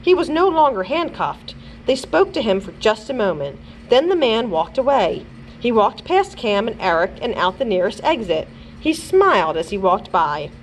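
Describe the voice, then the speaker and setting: A woman reads a children's story aloud as a librarian. Her delivery is expressive, with animated rises and falls in pitch. There is faint noise in the background. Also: moderate pace, rough recording. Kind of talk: expressive children's chapter book read-aloud.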